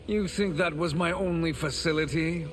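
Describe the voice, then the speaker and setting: An actor voicing a sly, villainous sorcerer in a fighting game, drawling and self-satisfied. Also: male voice, on the high side, fairly even intonation, normal speed, faint music behind, close to an everyday voice. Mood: threatening.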